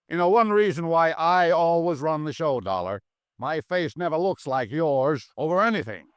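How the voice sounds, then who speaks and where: Blustering, high-pitched old businessman played by an actor. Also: lively intonation, moderate pace, no room sound, close to an everyday voice. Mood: cold scorn. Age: older. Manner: gruff, scornful, commanding. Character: blustering, domineering, cold old businessman father. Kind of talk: old-time radio drama acting.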